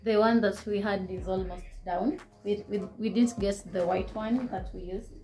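A woman's voice: medium pitch, fairly even intonation, moderate pace, dark tone. Chatty Kenyan woman showing off a haul. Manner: chatty, code-switching, shows items to camera. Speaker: vlogger. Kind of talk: casual shopping haul chat. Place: living room indoors.

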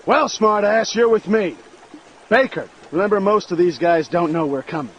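High-pitched, commanding American sergeant. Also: male voice, animated, dull audio, a little hiss or hum. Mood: commanding, urgent. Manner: brisk, authoritative. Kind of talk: military orders in game dialogue.

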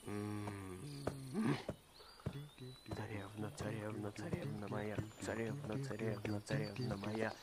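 A man's voice: fairly low pitch, little pitch movement, slow pace, long pauses, a loud crowd. A man playing a lovestruck, dreamy suitor in a cartoon, earnest in manner. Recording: loud music over the voice.

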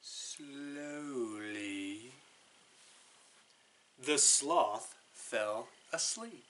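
A man in his thirties reading a children's picture book aloud in a deliberate, drawn-out, expressive way. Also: mid-range voice, slight background noise. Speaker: storytime guest reader. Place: living room by a brick fireplace.

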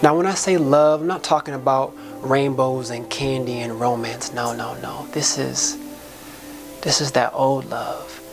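Woman, deep register, music clearly behind her. Spoken-word artist delivering an inspirational soliloquy, dramatic and colloquial. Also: fairly even intonation, unhurried. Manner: impassioned, dramatic, preacher-like delivery with repetition; colloquial, folksy turns of phrase.

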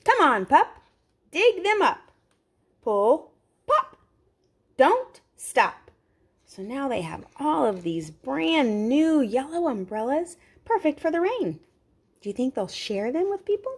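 A woman's voice: high voice, very animated, takes it slowly. A woman reading a picture book aloud to young children, expressively, with simple explanatory asides. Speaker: children's read-aloud host.

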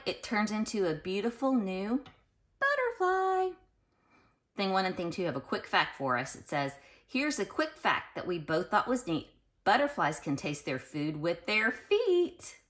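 A woman reading a children's picture book aloud in an expressive, sing-song way, speaking directly to young listeners. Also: lowish voice. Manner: pauses to explain and question listeners directly. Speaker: children's read-aloud host.

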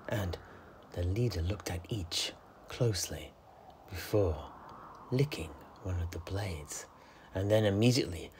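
A man playing a worldly tavern keeper, recounting adventures in a husky, breathy voice with measured dramatic pauses and conversational asides. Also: deep voice, darkish tone, slight background noise. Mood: suspenseful. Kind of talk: in-character fantasy storytelling.

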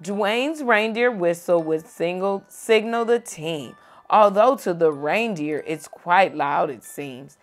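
A young woman reading a picture book aloud to a child at home, gentle and expressive. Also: lowish voice, slow, soft background music. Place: home bedroom, indoors.

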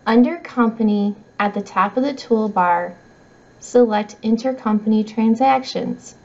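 A middle-aged woman reads scripted tutorial narration in a measured, instructional way. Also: on the high side. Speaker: software trainer. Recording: slightly dull, muffled audio.

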